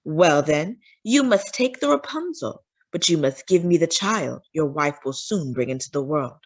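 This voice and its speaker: A woman voicing a wicked, domineering sorceress in a storybook, with stern, commanding, drawn-out threats. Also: sits low, animated, voice pushed down. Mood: menacing.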